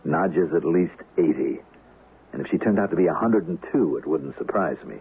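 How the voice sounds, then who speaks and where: An actor playing a two-faced, smooth-talking scheming husband in a 1970s radio drama, persuasive and theatrical, on a muffled old recording. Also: male voice, low voice, expressive, brisk, heavily acted.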